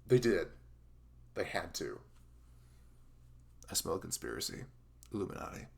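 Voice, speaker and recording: A man playing a dorky, smitten young best friend in an intimate ASMR roleplay, speaking in a halting, awkward, rambling way. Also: deep voice, sing-song, creaky, exaggerated voice. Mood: goofy, playful.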